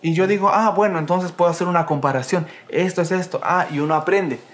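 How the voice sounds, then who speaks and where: A man's voice: high voice, steady tone, fast, fairly bright tone. A man leading a casual Bible study livestream, who repeats his phrases and punctuates them with "amén" and a questioning "¿ah?". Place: home in Canada.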